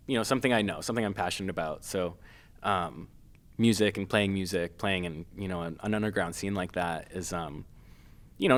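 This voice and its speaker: A man from Massachusetts, a filmmaker guest, talking casually with many pauses, fillers and restarts. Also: lowish voice, a bit bright. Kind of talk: casual interview.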